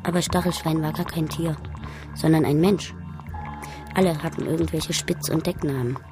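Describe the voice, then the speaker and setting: A pensive, innocent female figure, played by an actress, muses in a radio drama monologue. Her voice sits fairly low and moves in short, halting phrases, with music playing behind it. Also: fairly even intonation, close to an everyday voice. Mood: pensive, wistful.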